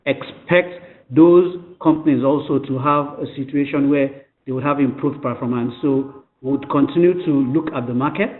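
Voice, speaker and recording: Male company executive for conference call remarks, medium pitch, formal, repetitive delivery, narrow telephone sound. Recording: tinny narrow phone line.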